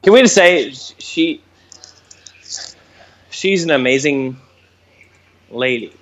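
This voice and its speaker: A man co-hosting a podcast, talking in an excitable, stammering way with lots of swearing. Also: on the high side, slow pace, a little hiss or hum. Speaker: podcast co-host. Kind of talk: casual chat.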